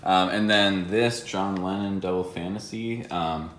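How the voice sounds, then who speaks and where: A man chatting casually and a little haltingly as he shows off secondhand finds as a video co-host. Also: deep voice, steady tone, fairly bright tone. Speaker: co-host of a thrifting haul video. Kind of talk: casual unscripted chat showing finds. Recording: roomy echo, some background noise.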